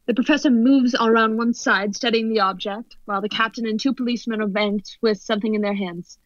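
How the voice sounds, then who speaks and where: High-pitched excited woman radio reporter, acted. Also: a little fast, dry recording, natural voice. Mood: tense, alarmed. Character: excited radio news reporter, increasingly horrified eyewitness. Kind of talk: 1930s radio drama acting, live eyewitness commentary. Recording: dull lo-fi audio under music.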